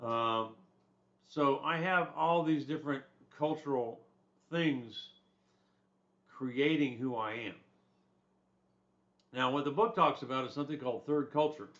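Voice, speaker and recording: A man's voice: mid-range voice, measured pace, pauses often, a bit bright. An older man, a retired soldier, lecturing casually on a textbook chapter in a rambling, conversational way with restarts and asides. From born in Germany, raised in the United States. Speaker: instructor. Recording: slightly dull lo-fi recording.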